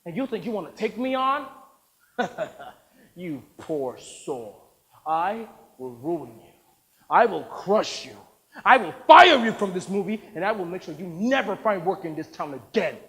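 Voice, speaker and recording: A man voicing a domineering, bullying male film producer who shouts, sneers and makes threats. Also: high voice, lively intonation, normal speed, long reverb tail, rough recording. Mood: enraged.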